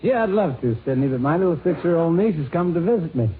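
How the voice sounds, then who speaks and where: A man's voice: fairly high pitch, expressive, quick pace, phone-quality sound, natural voice. A man playing an offhand, consoling buddy in a 1950s radio comedy sketch. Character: casual, consoling male buddy. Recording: noisy band-limited 1950 radio, studio audience.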